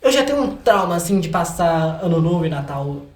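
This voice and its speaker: A man's voice: a little high, big pitch swings, a little fast. A young man with a Brazilian accent chatting casually as an interview guest, informal, slangy and joking about himself. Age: young adult. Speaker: guest, singer-songwriter. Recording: echoey room.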